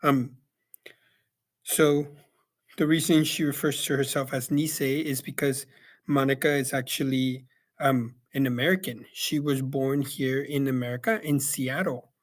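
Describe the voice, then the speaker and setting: A young man from El Salvador giving a casual spoken book review, pausing often with ums and restarting his sentences. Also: medium pitch, steady tone. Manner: hesitant, many ums and restarts. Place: Yakima, Washington.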